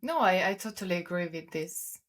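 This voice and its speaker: A woman's voice: fairly low pitch, expressive, moderate pace. A woman in a casual, reflective discussion as a podcast co-host, rephrasing as she goes.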